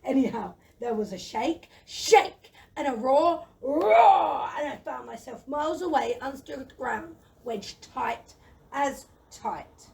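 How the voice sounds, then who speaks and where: A woman in a children's audio play voicing a gentle, lazy, timid dragon who chatters in a plaintive, excitable, wordy way. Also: sits high, takes it slowly, gravelly, full character voice. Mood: excited. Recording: noisy, slightly distant microphone.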